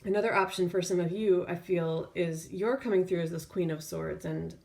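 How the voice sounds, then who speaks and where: A woman tarot reader speaking off the cuff in an intuitive reading, feeling her way through phrases with drawn-out pauses. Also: lowish voice, gravelly, darkish tone.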